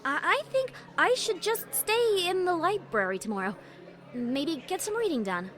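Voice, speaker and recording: A woman voicing an anxious, studious young unicorn mare in a dramatic reading, speaking haltingly and hesitantly. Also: extremely high pitch, very animated. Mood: guilty, fretful. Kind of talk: dramatic reading of fan fiction. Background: music.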